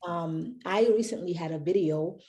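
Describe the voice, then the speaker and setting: A woman's voice: on the low side, normal speed, warm tone. A young woman from Haiti, a company founder, chatting as a podcast guest and rambling with many fillers and restarts. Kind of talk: casual interview chat.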